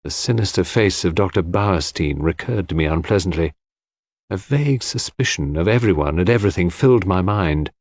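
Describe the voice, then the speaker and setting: A man's voice: low voice, lively intonation, moderate pace, darkish tone, dry recording, clean studio sound. A man reading scripted first-person literary narration as an audiobook narrator.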